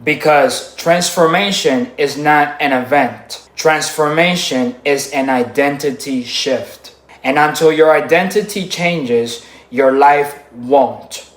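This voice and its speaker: A man from the Dominican Republic giving a scripted motivational speech in short, punchy, emphatic sentences with deliberate pauses. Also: a little high, roomy sound. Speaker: motivational speaker.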